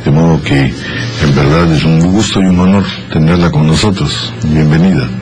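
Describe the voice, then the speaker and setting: A man's voice: rumbling low, steady tone, moderate pace, over music. An older man hosting a radio interview in a studio, formal and courteous, speaking into a desk microphone. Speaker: radio host. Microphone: studio desk microphone with headphones.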